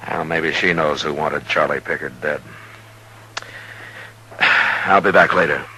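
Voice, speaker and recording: An actor playing a firm, measured American marshal in a radio western, speaking tersely and with authority. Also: male voice, extremely low pitch. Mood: firm, reassuring. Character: steady, authoritative frontier marshal, stern but loyal. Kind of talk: 1950s radio western acting. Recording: old radio recording, faint hum and hiss.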